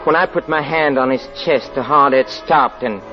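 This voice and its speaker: Muffled vintage radio, music behind, actor as menacing male fugitive, halting nervy phrases, widely swinging pitch, 1940s thriller. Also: natural voice. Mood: feverish confession. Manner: halting, nervy, with repeated words. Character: escaped male convict posing as minister, sly, menacing, unhinged.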